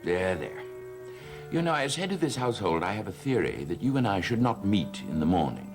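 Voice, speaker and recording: A man's voice: low voice, big pitch swings, fairly quick. An actor playing a suave, cruel stepfather in a 1970s TV soap opera, sardonic and mocking. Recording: music score behind voice.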